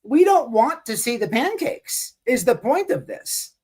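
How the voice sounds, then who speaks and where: Animated, rambling man hosting a livestream. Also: extremely high pitch, unhurried, slightly rough. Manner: profane, repetitive, rambling. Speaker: livestream host and commentator. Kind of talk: casual mocking livestream commentary.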